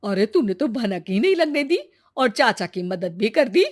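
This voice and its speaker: A woman's voice: on the high side, sing-song, normal speed. A woman playing an inquisitive, teasing village woman in a cartoon moral story, with a folksy, familiar manner. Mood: knowing, teasing. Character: inquisitive village woman, teasing, eager to help her neighbours. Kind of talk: Hindi animated moral story acting.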